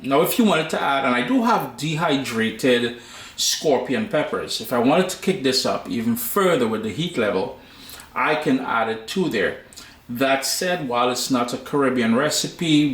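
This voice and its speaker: Relaxed Trinidadian man in an echoey kitchen. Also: medium pitch. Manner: relaxed, chatty, slangy asides. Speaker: cooking show host. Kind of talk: casual cooking tutorial.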